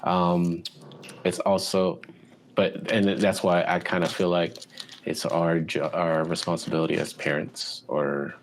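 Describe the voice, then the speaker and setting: A man with a very low voice chats as a guest on a podcast, relaxed and easygoing. He talks casually, often restarting his sentences, with a faint hiss of noise behind him. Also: measured pace. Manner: relaxed, with many fillers and restarts. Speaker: music producer guest. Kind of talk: casual interview conversation.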